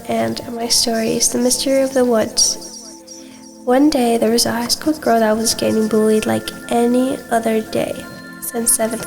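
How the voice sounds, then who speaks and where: A woman's voice: fairly high pitch, creaky. A girl in her early teens reading a scripted story aloud as a student, over music. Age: young teenager.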